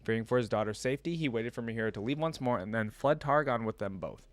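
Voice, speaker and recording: A man's voice: medium pitch, quick pace, dry recording. A man hosting a reaction video, reading stories aloud and adding casual commentary.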